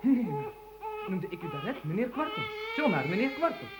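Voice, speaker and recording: A man acting a fussy, pompous gentleman in an old radio play, wordy and excitable, proud of his notebook. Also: very high voice, normal speed, very noisy. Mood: flustered.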